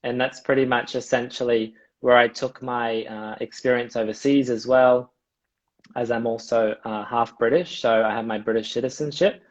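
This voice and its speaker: A man's voice: mid-range voice, steady tone, normal speed. A young Australian man, a hospitality alumnus, chatting easily as a guest with many fillers. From mid-north coast of New South Wales, Australia. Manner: easygoing, with frequent fillers. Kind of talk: casual interview over a live video call. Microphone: video call. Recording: dull lo-fi video call audio.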